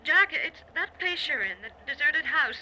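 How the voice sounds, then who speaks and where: An actress playing an anxious wife who pleads haltingly with her husband in an old-time radio drama. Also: high-pitched, expressive, bright, forceful tone, full character voice. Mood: anxious, pleading. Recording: noisy old radio recording.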